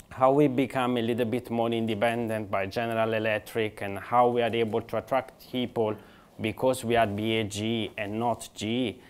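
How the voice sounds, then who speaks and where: Man, Italian accent, middle-aged, conference presenter, mid-pitched, halting, fillers and restarts. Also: a little mellow. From Italy. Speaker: conference speaker.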